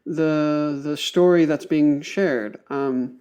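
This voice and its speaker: A man with a slightly high voice talks as a guest on a podcast. He explains things thoughtfully, pausing to find his words and leaning on academic vocabulary. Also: mellow, smooth.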